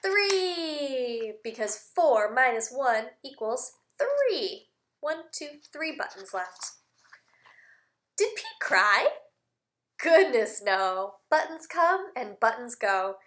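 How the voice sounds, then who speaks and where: Bright, high-voiced woman reading to children. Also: takes it slowly. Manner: animated, exaggerated emphasis for young children; simple explanatory phrasing with repeated words. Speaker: speech-language teacher reading aloud. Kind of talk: children's read-aloud with questions and singing.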